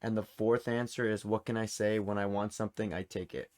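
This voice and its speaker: A man's voice: lowish voice, fairly even intonation, fairly quick, darkish tone. A man hosting a video game trivia quiz in casual chat, informally reading questions and answer options aloud. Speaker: quiz host.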